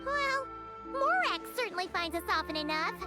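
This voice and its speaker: A woman voicing a tiny, childlike fairy guide in a video game, squeaky, bubbly and cheeky. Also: lively intonation, moderate pace. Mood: wistful, cheeky. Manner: bubbly, childlike, speaks of self in third person. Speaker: game voice actor. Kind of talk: cartoonish game mascot acting. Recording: loud game music behind.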